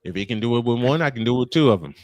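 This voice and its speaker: A man's voice: medium pitch, animated, racing, a little mellow, no room sound. A man calling a baseball game live as play-by-play host, slipping between commentary and casual chat.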